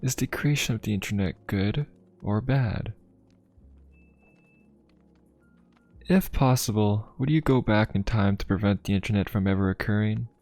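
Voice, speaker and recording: Man playing a low-voiced, courteous young fantasy pope. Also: fairly quick, natural voice. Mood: inquisitive. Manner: polite, formal, gently musing. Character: courteous, inquisitive young male pope of a steampunk fantasy city. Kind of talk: ASMR roleplay addressed to the listener. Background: music.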